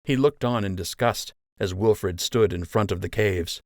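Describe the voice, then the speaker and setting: A man's voice: lowish voice, measured pace, no echo, studio quality. A man reading a children's adventure audiobook as scripted storytelling narration.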